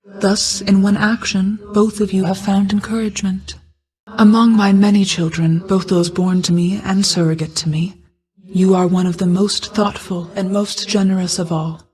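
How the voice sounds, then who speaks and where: A woman voicing an ancient goddess of night in a video game, a solemn, caring mother who speaks in a formal, measured, reserved way. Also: medium pitch, level tone, darkish tone, close to an everyday voice, rough recording. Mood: tender, grateful. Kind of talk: video game character dialogue.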